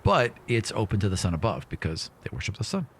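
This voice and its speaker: A man's voice: fairly low pitch, big pitch swings, quick pace, fairly bright tone, a little hiss or hum. A man narrating a tabletop fantasy game as game master, casually describing scenes in loose, conversational phrases.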